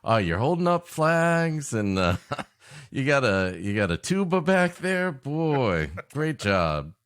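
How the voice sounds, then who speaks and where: A man co-hosting a podcast with improvised comic banter in a halting, mock-earnest, repetitive way. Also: medium pitch, very animated, a bit bright, smooth. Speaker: podcast co-host.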